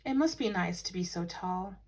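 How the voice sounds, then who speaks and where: A woman's voice: fairly low pitch, expressive, moderate pace, darkish tone. Lady reading as a polite little boy bear in a kids storybook, very earnest. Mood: dreamy.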